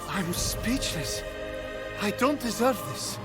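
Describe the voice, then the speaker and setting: A plucky young video game hero, earnest and fairly high-voiced. The delivery is unhurried and expressive, over clear music. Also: male voice, full character voice. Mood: awed. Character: plucky young mortal hero newly made a god.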